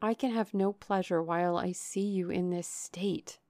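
A woman's voice: on the low side, steady tone, moderate pace, warm tone, no echo, studio quality, lightly acted. A woman narrator voicing a grave, caring sister in a classic novel, restrained, earnest and urging. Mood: solemn. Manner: solemn, urging.

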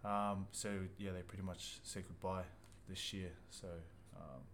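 A man's voice: low-pitched, fairly even intonation, measured pace, background noise. A young man from Australia, chatting in an easygoing, jokey way. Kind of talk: casual chat.

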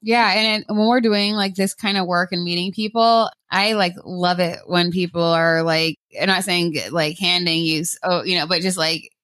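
A woman's voice: on the low side, fairly even intonation, moderate pace, few pauses, fairly bright tone. A woman hosting a casual interview, talking in a rambling way with many fillers.

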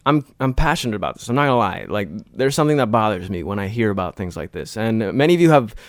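A man's voice: on the low side, big pitch swings, fast talker, no echo, studio quality. A man hosting a podcast, talking in a conversational, earnest way with his guest. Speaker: podcast host. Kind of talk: casual podcast talk. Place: studio.

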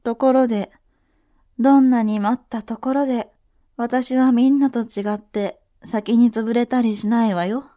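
Woman playing a high-voiced, proud scheming noblewoman. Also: fairly even intonation, unhurried, mellow, close to an everyday voice. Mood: composed. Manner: haughty, theatrical, formal. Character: proud, shrewd noblewoman, elder sister vying as heir. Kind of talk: voice drama acting.

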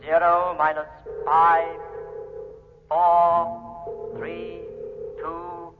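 An actor playing a formal, impassive launch controller over a radio loudspeaker in a 1950s radio drama, speaking in measured tones. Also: male voice, a little high, monotone, music in the background. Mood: measured countdown. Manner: measured, official, impersonal. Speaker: actor in a radio science fiction serial. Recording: muffled, noisy, echoing 1950s radio recording.

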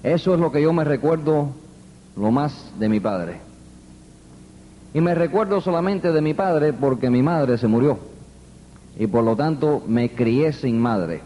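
A man's voice: medium pitch, expressive, a little slow, pauses often, dark tone, in a large hall, slight background noise, low-quality recording. An older man from New York giving an earnest motivational speech to a live audience. He tells the story with emphatic repetition. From New York, United States. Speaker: motivational speaker.

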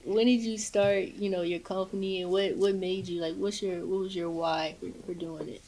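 A woman hosting a casual interview, asking questions full of fillers and quick affirmations. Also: medium pitch, steady tone, a crowd in the background. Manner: frequent fillers, many short affirmations and laughs. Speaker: interview host.

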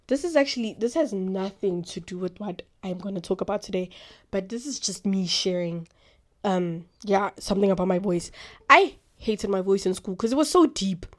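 Chatty young woman with a deep voice. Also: normal speed. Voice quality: naturally deep voice. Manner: chatty, rambling, many fillers. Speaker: podcast host. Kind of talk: casual faith talk.

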